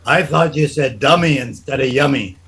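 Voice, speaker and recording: A man's voice: medium pitch, moderate pace, very noisy. A man taking part in an online group, chatting casually. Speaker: participant in online service. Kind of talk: casual chat.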